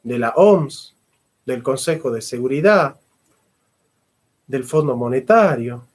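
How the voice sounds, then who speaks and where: A man's voice: medium pitch, expressive, slow. A middle-aged man, a philosopher and history teacher giving a fervent lecture and repeating phrases for emphasis. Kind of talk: impassioned lecture.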